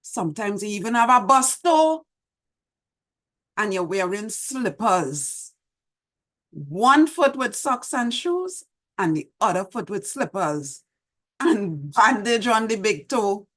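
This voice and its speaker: A middle-aged woman with a Trinidadian accent, hosting an online show and gently reminiscing as she reads her poem, recorded over a video call from a small room at home. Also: medium pitch, very animated, takes it slowly. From Trinidad and Tobago. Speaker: host. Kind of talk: reflective talk and poetry reading. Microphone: video call webcam.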